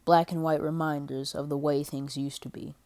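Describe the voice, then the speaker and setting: A grim, brooding vigilante detective voiced by a woman narrates his inner thoughts from a comic book. The voice is very low and dark in tone. The delivery is terse, solemn and introspective. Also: little pitch movement, moderate pace.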